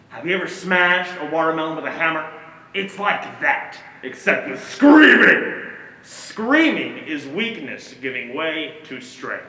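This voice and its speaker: A male actor plays a menacing figure who relishes control, delivering a slow, deliberate stage monologue. The voice is high and forceful, echoing through a theater hall. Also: heavily acted. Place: stage in a hall, one-act play performance. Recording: echoey theater hall, faint noise.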